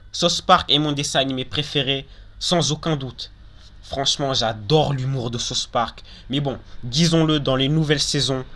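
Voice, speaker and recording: A man's voice: on the high side, pushed and bright. A young man hosting a casual countdown video, talking informally and giving opinions, often repeating and qualifying himself. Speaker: video host.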